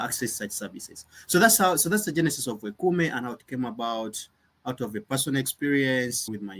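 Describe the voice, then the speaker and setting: A man's voice: mid-range voice, a bit bright. Earnest young man, founder. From Uganda. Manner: earnest, reflective, with frequent restarts. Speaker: nonprofit founder, guest. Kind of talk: conversational interview.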